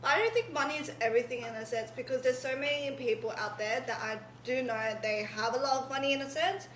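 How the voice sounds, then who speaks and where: A woman's voice: high-pitched, quick pace, a little hiss or hum. A twenty-year-old woman, a podcast guest, rambling in casual chat, filling her sentences with "like" and "in a sense". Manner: rambling, filler-heavy, says "in a sense" a lot. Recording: echoey room.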